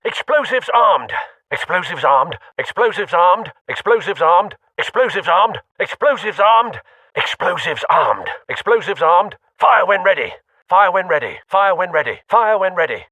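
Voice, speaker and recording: A man voicing a dry-witted, composed older butler in a video game, formal and measured with a husky voice. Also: high voice, big pitch swings, bright, forceful tone, dry recording, heavily acted. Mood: alert.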